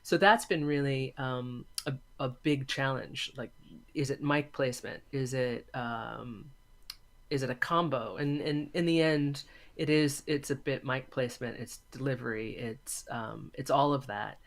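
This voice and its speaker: Reflective man explaining in short, halting phrases. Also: mid-range voice, creaky. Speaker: interview guest, audio drama maker and filmmaking teacher. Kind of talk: thoughtful interview conversation.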